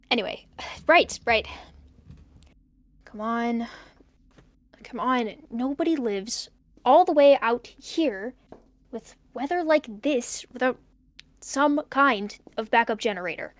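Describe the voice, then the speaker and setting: A high-voiced, nervy young loner played by a woman talks to themself in an audio drama. The delivery is very slow and halting, with wry muttered jokes. The sound is close and dry. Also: expressive, no room sound. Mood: nervous, wry. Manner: wry, halting, self-talking. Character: nervy, joking young loner recording a tape while fixing power.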